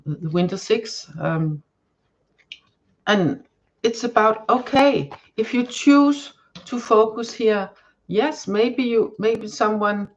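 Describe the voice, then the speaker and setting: A woman with a Danish accent, whose first language is Danish, giving a live tarot reading to viewers and often pausing to search for words. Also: mid-range voice, muffled recording. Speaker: tarot card reader. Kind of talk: live-stream card reading, chatting to viewers.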